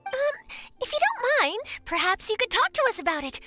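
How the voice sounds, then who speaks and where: A considerate young girl in an anime game, gentle in temper. Her voice is very high and bright, with quick delivery. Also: sing-song, faint music behind, full character voice. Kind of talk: English dubbed anime game acting.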